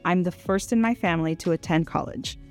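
A woman's voice: lowish voice, a little fast, soft background music. A woman with a Mexican Spanish accent, whose first language is Spanish, giving a scripted introduction as a clinical social worker and mixing in Spanish words.

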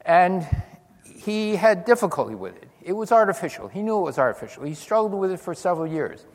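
An older man giving a physics lecture to an audience, telling stories with dry humor and lively demonstrations. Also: high-pitched, fairly quick. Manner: animated storytelling with dry humor. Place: large lecture hall. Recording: long hall reverb.

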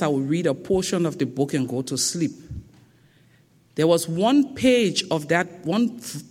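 A woman with a thick Liberian accent giving a public lecture in a slow, deliberate and emphatic way. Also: lowish voice. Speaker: guest speaker. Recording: long reverb of a lecture hall.

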